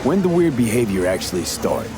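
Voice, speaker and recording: A man's voice: mid-range voice, sing-song, fairly quick, over loud music, lightly acted. A man playing a tough, menacing young mercenary in a video game, speaking in terse, clipped phrases. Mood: businesslike. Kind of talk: video game dialogue acting.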